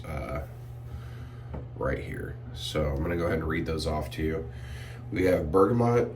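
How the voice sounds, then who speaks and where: A man reviewing shave soap in a relaxed, rambling way, a bit sniffly and clearing his throat often. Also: deep voice, recorded from a distance, a little hiss or hum. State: sniffly from irritated sinuses. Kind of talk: casual product review vlog.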